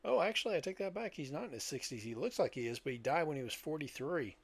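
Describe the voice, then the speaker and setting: A man's voice: on the high side, expressive, fast talker, slightly rough. An older man co-hosting a podcast, talking casually with friends about old films. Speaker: podcast co-host.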